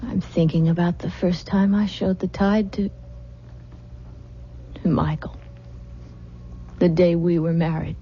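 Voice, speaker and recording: An actress playing a listless, grieving young bride haunted by demonic dreams, withdrawn and brooding in an old-time radio drama. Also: female voice, fairly low pitch, fairly even intonation, unhurried, long pauses, slight background noise, lightly acted. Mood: withdrawn, dreamy. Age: young adult. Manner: withdrawn, brooding, breathy hushed intensity. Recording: muffled old radio recording with music.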